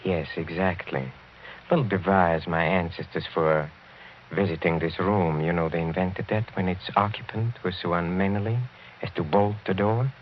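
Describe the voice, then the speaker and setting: Casting: male actor as older aristocratic count, low and silky, courteous yet menacing, vintage radio drama, muffled noisy recording. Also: fairly even intonation, moderate pace, lightly acted. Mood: amused, suave. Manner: silky, courteous, theatrically hesitant, chuckling. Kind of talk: 1940s radio acting.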